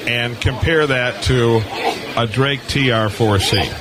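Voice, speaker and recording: A man's voice: mid-range voice, slow. A man hosting a live radio show, chatting casually in a rambling, folksy, conversational way. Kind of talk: casual live interview chat about amateur radio. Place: busy hamfest exhibit hall, live booth. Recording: live from a crowded convention floor. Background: crowd noise.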